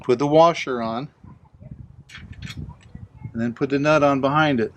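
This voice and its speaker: A man's voice: medium pitch, measured pace, slight background noise. A man casually narrating a hands-on DIY installation, explaining it plainly step by step.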